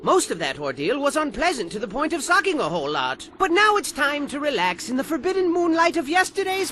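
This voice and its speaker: An actor playing a flamboyant, excitable male game narrator who rambles theatrically between grandiose speeches and petulant outbursts. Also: very high-pitched, a little hiss or hum, heavily acted. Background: game music.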